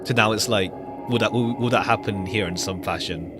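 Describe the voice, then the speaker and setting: A man's voice: lowish voice, fairly quick, over music. A man streaming a visual novel, reading the game text aloud and adding casual commentary.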